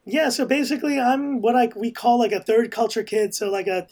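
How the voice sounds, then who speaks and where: A man's voice: very high-pitched, brisk, a little mellow. A man with an American accent, a podcast guest talking casually and rambling with many restarts. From raised in Hong Kong, family from El Salvador. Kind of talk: casual conversation.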